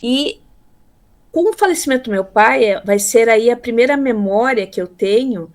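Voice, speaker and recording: A woman in her fifties from Brazil, telling her life story in a relaxed interview, pausing often with fillers and repeated words. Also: on the high side. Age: 50s. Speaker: interviewee, theology graduate. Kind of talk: casual conversational interview.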